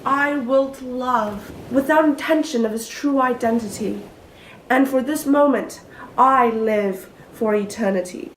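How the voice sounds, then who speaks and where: A woman's voice: fairly high pitch, a little slow, close to an everyday voice. A young woman performing an innocent, lovestruck maiden in an earnest, theatrical Shakespearean soliloquy. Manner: earnest, declamatory, archaic diction. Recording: echoey room with faint noise.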